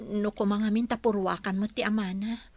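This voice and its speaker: A woman's voice: mid-range voice, fairly even intonation, fairly quick, darkish tone, dry recording, lightly acted. A woman playing a struggling, sorrowful young mother in an Ilocano radio drama. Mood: pleading.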